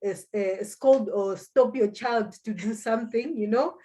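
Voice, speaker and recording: A woman's voice: fairly high pitch, moderate pace, warm tone. A woman with a Zimbabwean accent, a native Shona speaker, giving a conversational personal account as an interview guest, with hesitant phrasing and repetition. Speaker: interview guest, language school founder.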